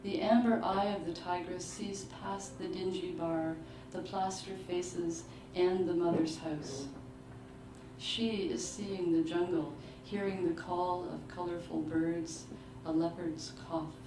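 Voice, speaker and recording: A woman reads short fiction aloud in a low voice, taking her time over each phrase. She is at a live launch, picked up from a distance in an echoing room with clear background noise. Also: steady tone, slow pace. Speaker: author reading at a book launch. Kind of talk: literary reading of short fiction. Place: live book launch in an indoor venue. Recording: roomy, distant mic, clearly noisy live venue.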